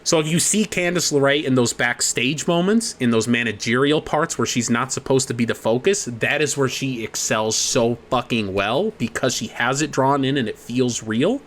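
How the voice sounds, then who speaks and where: A man's voice: mid-range voice, normal speed, few pauses, a bit bright. A young man co-hosting a podcast, explaining at length in a casual way, swearing freely. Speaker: podcast co-host.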